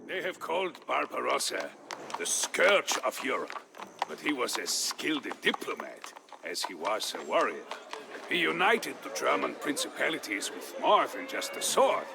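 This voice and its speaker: An actor playing a boisterous tavern storyteller who narrates a medieval tale in a historical game, dramatic and relishing, with weighty pauses. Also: male voice, medium pitch, huge pitch swings, creaky, heavily acted. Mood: measured, storytelling. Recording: music behind the voice. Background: music.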